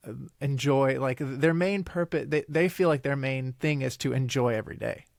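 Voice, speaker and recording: A man in his thirties, from Italy and a native Italian speaker, chats as a podcast guest. His voice is gravelly with a bit of fry, and he pauses, restarts and rambles as he thinks aloud. The sound is dry and close. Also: mid-range voice, a little mellow, no room sound. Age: 30s. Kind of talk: casual interview chat.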